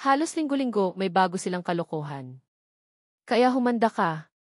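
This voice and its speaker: A woman's voice: mid-range voice, big pitch swings, normal speed, darkish tone, no room sound. Woman playing a chatty teacher lady, confiding and gossipy, storybook read, dry sound. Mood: warning.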